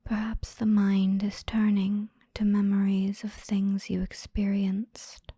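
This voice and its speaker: A woman guiding a hypnosis relaxation in a soothing, gentle, breathy whisper, unhurried and with frequent pauses, close to the microphone. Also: mid-range voice, dry recording. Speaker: hypnosis guide. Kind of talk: whispered ASMR guided relaxation storytelling.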